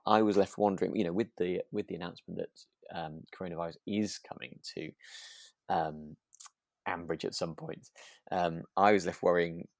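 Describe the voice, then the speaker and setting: A man's voice: deep voice, steady tone, normal speed, creaky, dry recording, clean studio sound. A man co-hosting a podcast, chatting casually and a little hesitantly as he searches for words. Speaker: podcast co-host. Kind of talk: relaxed casual chat about a radio drama.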